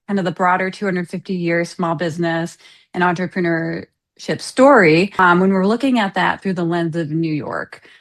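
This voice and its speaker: A woman's voice: fairly low pitch, normal speed. A woman hosting an interview in a conversational style, setting up questions for her guest.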